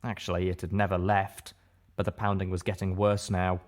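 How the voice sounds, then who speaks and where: A man narrates scripted fiction in the first person. His voice is fairly low. The delivery is that of an audiobook reader telling a story. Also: flat delivery, normal speed.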